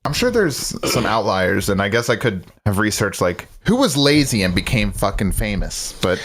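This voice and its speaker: A man co-hosting a podcast in casual chat, energetic and rambling, speeding up when he makes a point. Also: fairly low pitch, normal speed. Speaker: podcast co-host.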